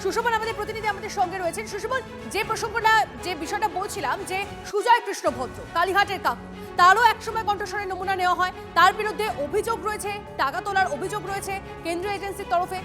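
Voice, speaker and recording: A woman's voice: extremely high pitch, quick pace. A woman with a West Bengal Bengali accent anchoring breaking news, urgent and insistent as she puts questions to reporters. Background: news music bed.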